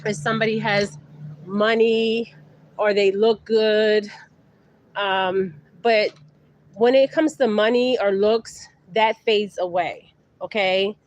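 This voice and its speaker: A woman hosting a live stream, talking in a relaxed, halting way with many fillers. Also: mid-range voice, dry recording, muffled recording. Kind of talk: casual live stream chat.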